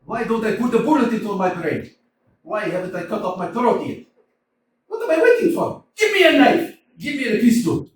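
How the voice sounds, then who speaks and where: A man plays a hot-tempered old landowner who blusters and shouts, his voice riding high and swinging wildly. He sounds distant, in a very echoey hall with a faint hiss. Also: very animated, moderate pace. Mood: despairing. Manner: shouting, bombastic, exasperated. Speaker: amateur actor in a staged reading. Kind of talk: community theater staged reading.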